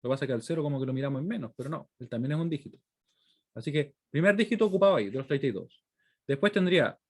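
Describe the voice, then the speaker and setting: A man's voice: on the high side, darkish tone. A man with a Chilean accent giving an explanatory lecture to students, working through examples step by step and often checking in with short questions. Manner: didactic, frequent check-in questions. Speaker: university lecturer.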